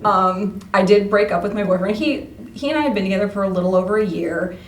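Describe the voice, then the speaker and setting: Woman, medium pitch, conversational storyteller, chatty interview guest. Roomy sound with faint noise. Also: fast talker. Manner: chatty, animated retelling with quoted dialogue. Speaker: interview guest, radio play cast member. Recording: roomy, distant mic, faint background noise.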